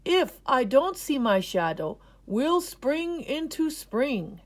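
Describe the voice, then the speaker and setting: A woman voicing an elderly grandfather groundhog in a children's storybook, a proud, folksy announcer proclaiming slowly and loudly. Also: sits high, big pitch swings, pitched above the performer's own voice, full character voice. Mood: grand, announcing. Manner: slow, loud, proclaiming. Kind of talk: children's storybook read-aloud.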